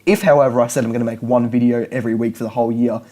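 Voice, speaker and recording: A man's voice: medium pitch, fairly even intonation, very fast, mellow. A young man who speaks English natively, explaining language learning in a casual video lesson, often repeating and restarting words as he goes.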